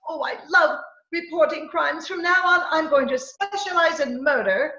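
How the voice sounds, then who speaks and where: A woman playing an excitable, headstrong young reporter in an old-time radio comedy-mystery, with theatrical, breathless exclamations. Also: sits high, moderate pace, a little hiss or hum. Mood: excited. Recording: echoey, muffled home video-call recording.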